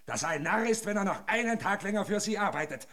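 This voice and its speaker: An actor in a German radio drama playing a sly, greedy, aging foreman, rough-spoken, taunting and insistent. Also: high-pitched, lively intonation, fast, sharp tone.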